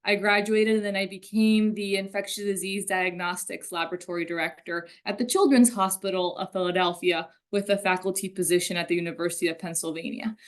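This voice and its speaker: A woman's voice: medium pitch, steady tone, brisk, hardly any pauses. Conversational woman from Colombia. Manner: conversational, storytelling with asides. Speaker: career panelist. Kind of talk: panel talk.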